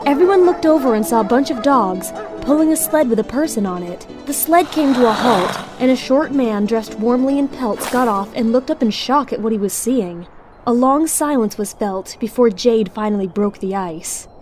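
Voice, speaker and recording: A woman's voice: fairly high pitch, expressive, normal speed, music in the background. A woman reading scripted story narration for an audio drama.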